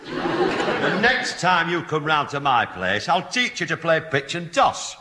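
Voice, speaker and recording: Blustering, sharp Northern English neighbour. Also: male voice, on the high side, big pitch swings, slow pace, slight background noise, heavily acted. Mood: angry. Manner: blustering, threatening. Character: irascible, threatening Northern neighbour father. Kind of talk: radio sitcom acting. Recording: reverberant old radio studio recording.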